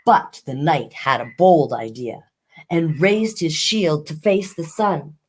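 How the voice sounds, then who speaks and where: A woman's voice: sits low, slow, breathy edge. A woman hosting a children's storytime, reading picture books expressively with chatty asides to young listeners.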